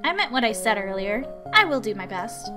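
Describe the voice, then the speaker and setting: Visual novel tutor played by a woman: formal, precise, proud, high-pitched, over music. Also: very animated, brisk. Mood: composed, determined. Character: formal, dutiful, proud visiting tutor named Autumn.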